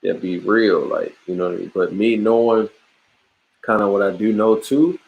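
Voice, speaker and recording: Low-voiced emphatic man, casual slang. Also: normal speed, creaky, narrow, tinny audio. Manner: emphatic, boastful, streetwise slang with restarts. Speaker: podcast host, guest on the show. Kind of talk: casual interview chat.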